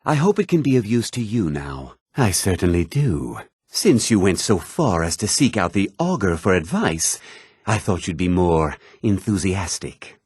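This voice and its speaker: An actor voicing a haughty, formal middle-aged mage and official in a fantasy video game, speaking precisely and with condescending politeness. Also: male voice, low-pitched, very animated, a little slow, a little mellow, breathy edge, no echo, clean studio sound, heavily acted. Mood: measured, approving.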